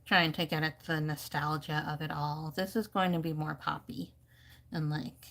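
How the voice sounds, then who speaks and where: Low, creaky-voiced woman talking while painting. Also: little pitch movement, normal speed, fairly bright tone. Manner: rambling, thinking aloud with hedging. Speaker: art streamer teaching painting. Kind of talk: casual live painting commentary.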